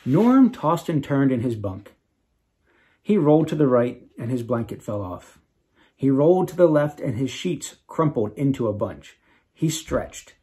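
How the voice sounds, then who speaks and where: A man reading aloud to children as an author, with expressive, dramatic emphasis and casual asides. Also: mid-range voice, unhurried, breathy edge. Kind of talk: animated storybook read-aloud with casual asides.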